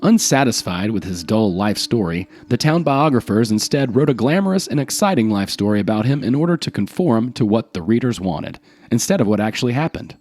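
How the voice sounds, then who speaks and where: A man's voice: mid-range voice, sing-song, fairly quick, hardly any pauses, darkish tone. A man narrating scripted folk tales as a storyteller.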